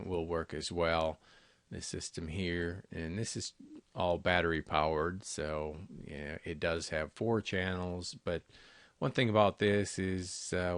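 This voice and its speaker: A man giving a conversational, somewhat halting, unscripted product walkthrough as a salesperson. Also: low voice, no echo. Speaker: intercom company salesperson presenting products. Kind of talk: unscripted product walkthrough over screen recording.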